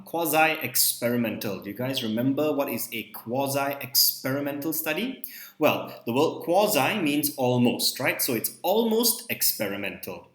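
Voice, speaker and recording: Young Malaysian male teacher, native Malay, mid-pitched lecture voice, echoey room. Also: fairly bright tone. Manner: ends points with a questioning "right?". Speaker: psychology teacher. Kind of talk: recorded lecture over slides.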